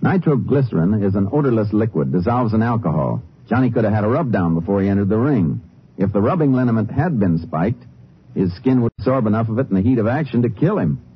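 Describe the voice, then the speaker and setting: An actor playing a shrewd, stern Texas Ranger in 1950s radio drama, with a clipped, authoritative manner. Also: male voice, fairly low pitch, big pitch swings, fast talker, husky. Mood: analytical. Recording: muffled, faintly noisy old radio recording.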